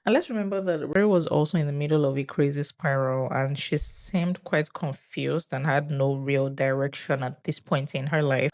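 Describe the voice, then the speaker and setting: A video commentator with a medium-pitched voice talks casually to viewers about a TV drama. The delivery is conversational and reflective, with hedging asides along the way. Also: darkish tone.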